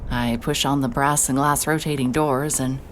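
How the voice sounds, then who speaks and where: A young woman narrating a horror audio drama in first person, tense and breathless, dropping to whispers and breaking into sudden shouts. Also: extremely low pitch, fairly even intonation, normal speed, a little hiss or hum. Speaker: narrator and lead character.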